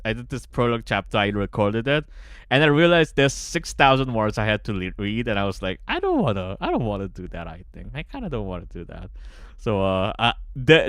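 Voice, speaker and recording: A man's voice: fairly low pitch, a bit bright, smooth, dry recording, clean studio sound. A man chatting casually on a livestream as a game developer, stammering and repeating his words. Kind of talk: casual livestream chat with some read-aloud narration. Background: guitar music.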